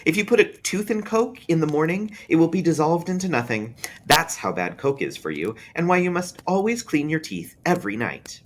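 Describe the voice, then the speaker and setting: A man's voice: fairly high pitch, big pitch swings, voice pushed up. A man voicing a precocious, serious young boy in an eerie storybook, earnest and thoughtful, a bit scared. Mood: matter-of-fact. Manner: earnest, matter-of-fact, pausing thoughtfully. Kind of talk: read-aloud eerie storybook dialogue.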